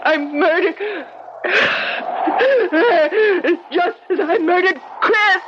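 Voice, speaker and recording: Hysterical, high-voiced murderess, old noisy radio. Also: female voice, very slow, full character voice. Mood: anguished confession. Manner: breathless, sobbing, overwrought delivery. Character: hysterical guilt-ridden murderess, a woman haunted by her crimes. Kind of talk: melodramatic old-time radio drama acting. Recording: muffled, very noisy vintage radio recording.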